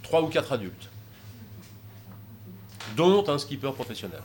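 A man's voice: mid-range voice, big pitch swings, a little slow, slight background noise. A man talking informally about taking troubled youths sailing, rambling with many hesitations and fillers. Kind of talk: informal talk with audience questions.

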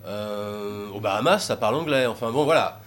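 A man's voice: on the low side, expressive, moderate pace, fairly bright tone. A man talking informally about taking troubled youths sailing, rambling with many hesitations and fillers. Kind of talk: informal talk with audience questions.

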